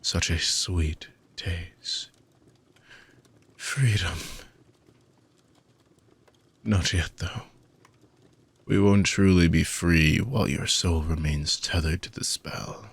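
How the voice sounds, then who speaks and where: A man playing an ancient, weary dark mage villain in a fantasy audio roleplay, husky and gravelly, speaking theatrically with long dramatic pauses. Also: deep voice, fairly even intonation, heavily acted. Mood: hushed, longing. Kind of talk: fantasy audio roleplay monologue addressed to listener.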